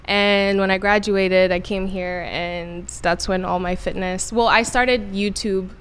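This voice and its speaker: A woman in her twenties from Ghana, a guest chatting in an interview with many pauses and restarts. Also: mid-range voice, steady tone, a bit bright, a little hiss or hum. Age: 20s. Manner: hesitant, many ums and restarts. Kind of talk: casual interview chat.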